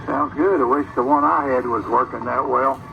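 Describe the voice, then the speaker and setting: A man's voice: mid-range voice, normal speed, gravelly. A folksy, grumbling man chatting casually over CB radio. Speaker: radio contact. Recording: dull CB radio transmission, noisy static.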